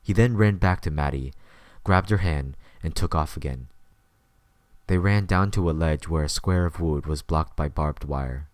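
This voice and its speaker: A man's voice: very deep voice, measured pace, dry recording. A man reading scripted story narration as the narrator of an audio drama.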